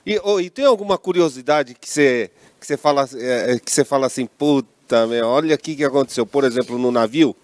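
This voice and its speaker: A man's voice: mid-range voice, a little fast, few pauses. A man co-hosts the conversation, mostly chiming in with short, agreeable remarks. Now and then he asks a question of his own. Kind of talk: casual conversation.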